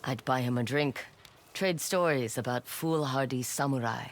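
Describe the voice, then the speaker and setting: A man playing a stoic wandering warrior in a video game cutscene, speaking frankly and directly with quiet respect. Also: mid-range voice, normal speed, slight background noise, close to an everyday voice. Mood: respectful, resolute.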